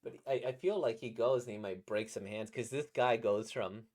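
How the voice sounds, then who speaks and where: A man with a slightly squeaky, trebly voice, a voice actor casually reacting to comics in a rambling, playful way. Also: normal speed, a little mellow. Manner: rambling, playful, laughs at his own jokes.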